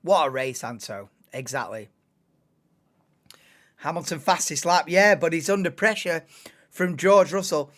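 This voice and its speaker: Hoarse, excitable woman calling a race. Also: sits low, a little slow, long pauses. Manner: excitable, bursts into shouts and laughter. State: losing voice, hoarse. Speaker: channel host, live race commentator. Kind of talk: live watch-along race commentary.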